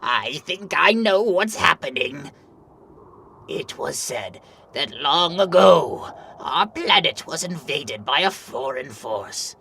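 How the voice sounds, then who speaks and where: A man voicing an old, grave grandmaster of an ice nation in an animated fantasy, solemn and melodramatic. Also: fairly high pitch, slow, creaky, slight background noise, heavily acted. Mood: solemn storytelling. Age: older. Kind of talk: animated fantasy drama acting.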